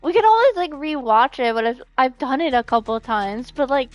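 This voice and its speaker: High-voiced, excitable woman streamer. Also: a little fast, no echo, soft background music, rough recording. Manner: giggly, excitable. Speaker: game streamer. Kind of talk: casual live-stream chat, reading out comments.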